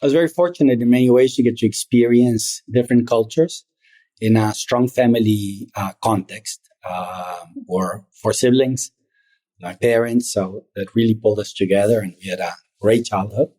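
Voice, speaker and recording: A middle-aged man born in Argentina, a private equity founder telling his story in a measured, reflective way as an interview guest. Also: fairly low pitch, warm tone. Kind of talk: interview conversation.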